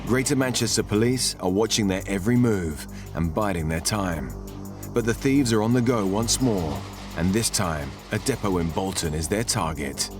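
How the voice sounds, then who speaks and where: Low-pitched male documentary narrator over music. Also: expressive, moderate pace. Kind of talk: scripted narration.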